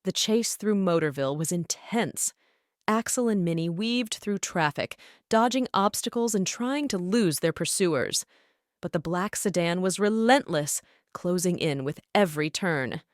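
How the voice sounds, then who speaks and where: Woman storyteller, children's bedtime narration, slightly low voice, animated pitch swings. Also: measured pace, no room sound, studio quality.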